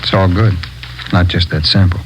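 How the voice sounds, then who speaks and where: A man's voice: low-pitched, steady tone, moderate pace, lightly acted. An actor playing a curt, watchful drug dealer's henchman, matter-of-fact, in a 1950s radio crime drama. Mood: curt, businesslike. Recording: noisy old 1950s radio recording.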